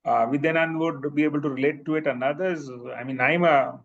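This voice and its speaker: Presenter: Indian-accented male professor, fairly high voice, reflective with frequent ums, slightly muffled recording. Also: brisk. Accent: Indian English. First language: Bhojpuri. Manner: thoughtful, many fillers and restarts. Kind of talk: academic Q&A answer. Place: seminar room.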